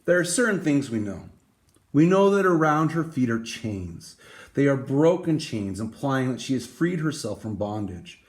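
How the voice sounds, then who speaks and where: A man reading a picture book aloud, with dramatic emphasis and deliberate pauses. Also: mid-range voice, very animated. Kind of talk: expressive storybook read-aloud.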